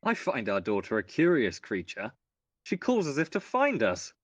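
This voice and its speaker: A man's voice: mid-range voice, wildly swooping pitch, normal speed, a little hiss or hum. Man acting a pompous father, dramatically swooping intonation. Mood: condescending. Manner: pompous, mannered, condescending. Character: haughty, coldly polite upper-class father.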